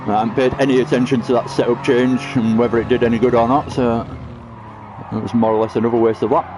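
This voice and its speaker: A chatty man talks through a racing game in a mid-pitched, everyday voice, laughing easily and ribbing his mates. Music plays clearly underneath him the whole time. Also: little pitch movement, fast talker. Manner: chatty, laughs often, teases friends in chat. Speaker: sim racing streamer. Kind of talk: casual live-stream gameplay commentary.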